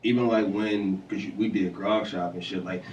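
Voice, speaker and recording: A man of thirty, a rapper guest, giving relaxed answers that trail off into fillers. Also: low voice, normal speed, echoey room, distant mic, muffled recording, a little hiss or hum. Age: 30s. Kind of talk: casual interview chat.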